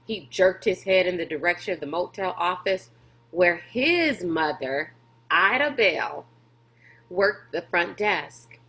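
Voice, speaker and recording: A woman narrating a children's storybook aloud like a teacher to pupils, in a deliberate, expressive way. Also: lowish voice.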